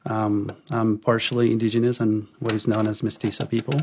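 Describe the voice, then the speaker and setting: Low gravelly man from Ecuador. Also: a little fast, slight background noise. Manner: relaxed, hesitant with many ums and restarts. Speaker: podcast guest. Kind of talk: casual interview conversation.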